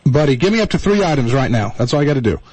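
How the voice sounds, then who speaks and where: A man's voice: medium pitch, very animated, very fast, darkish tone, slightly rough, rough recording. A man hosting a live call-in radio show in a folksy, exasperated way, often repeating himself.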